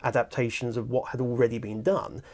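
A man's voice: medium pitch, little pitch movement, brisk, a little mellow. A man in his thirties, a chatty and enthusiastic host, interviewing a guest in a small studio room on a lapel mic. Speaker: host and audiobook producer. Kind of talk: casual interview chat.

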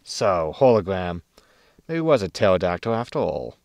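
Casting: male actor as grumbling, sarcastic starship captain, lowish voice, dry close sound, sci-fi comedy. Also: very animated, normal speed, gravelly, darkish tone, no echo, full character voice. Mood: sardonic. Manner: sardonic, grumbling. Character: gruff, sarcastic male spaceship captain and military pilot. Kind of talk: sci-fi comedy audio drama acting.

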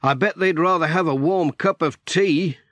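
A man's voice: a little high, normal speed, a bit bright, no room sound, voice pushed up. A man voicing a kindly, chatty male village postman in a children's storybook. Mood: friendly.

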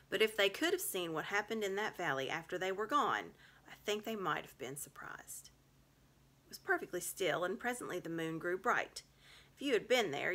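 Bright-voiced woman reading a storybook. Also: on the low side. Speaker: reader. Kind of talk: reading a children's storybook aloud. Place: small home room, indoors.

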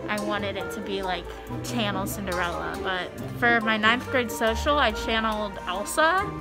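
A woman's voice: medium pitch, moderate pace. A young adult woman with an American accent, chatting casually and playfully as she vlogs while shopping, with a dramatic streak. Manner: playful, self-described dramatic. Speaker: vlogger. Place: shops inside a mall. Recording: loud music mixed in.

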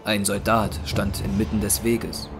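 A man's voice: on the low side, flat delivery, unhurried. A man narrating a scripted dramatic action story as the narrator of an audio drama. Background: music.

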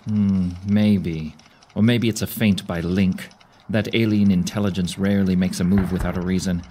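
Deep-voiced, shrewd general. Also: male voice, fairly even intonation, normal speed, mellow. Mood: shrewd, measured. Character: shrewd, authoritative older Roman general. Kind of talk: audio drama acting.

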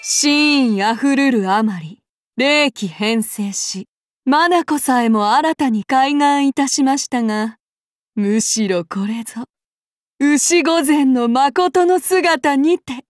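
A calm, menacing samurai woman. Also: high voice, takes it slowly, no echo. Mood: devoted. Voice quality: formal, archaic courtly speech. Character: calm, menacing samurai woman, demon-blooded and devoted to her master. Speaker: game character voice actress. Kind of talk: game character voice lines.

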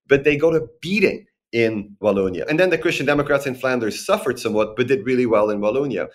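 A man in his forties, a guest expert on a podcast, recording remotely and explaining at length in a lively, structured way with frequent tag questions. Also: mid-range voice. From Belgium. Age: 40s. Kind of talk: podcast interview, analytical explanation.

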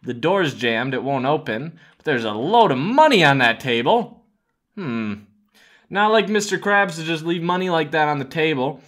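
A man's voice: on the high side, expressive, moderate pace, a bit bright. A man in his twenties giving casual, jokey gaming commentary as a host, narrating a roleplay adventure with mock drama. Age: 20s.

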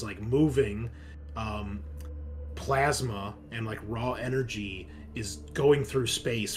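A man's voice: lowish voice, a bit bright. A man narrating casually as game master of a tabletop roleplaying game. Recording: noticeable background noise.

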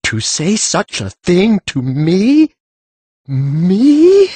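Pompous, husky, swooping priest. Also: male voice, high voice, wildly swooping pitch, very slow, dark tone, no room sound, full character voice. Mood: indignant outrage. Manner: pompous, indignant. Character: pompous, irascible male priest, outraged and self-important. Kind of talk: full-cast fantasy audiobook acting.

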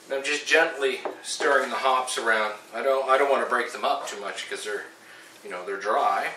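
A man's voice: medium pitch, moderate pace, far from the mic, background noise. A middle-aged man with a stuffy nose from a head cold, chatting casually and upbeat through a home brewing vlog. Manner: chatty, upbeat, with frequent ums and uhs. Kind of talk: casual how-to and tasting vlog. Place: home kitchen, then small room at home.

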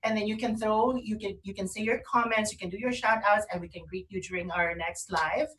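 A woman's voice: mid-range voice, a little fast, fairly bright tone, distant mic, rough recording. A woman co-hosting a casual chat over a video call. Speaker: co-host.

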